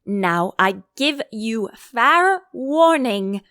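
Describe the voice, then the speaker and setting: A woman's voice: on the high side, expressive, drawn-out words, a bit bright, smooth, no echo, clean studio sound, full character voice. A woman voicing an imperious, quarrelsome storybook Queen with a commanding, curt, emphatic delivery. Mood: furious, threatening. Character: imperious, quarrelsome Queen who shouts threats of beheading.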